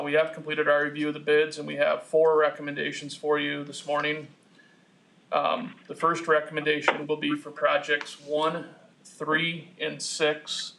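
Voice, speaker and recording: A man presents a formal meeting report in a slightly high, gravelly voice. His intonation is extremely flat, and his delivery is measured, halting and businesslike. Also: a little hiss or hum, poor audio. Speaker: project engineer presenting to a commission.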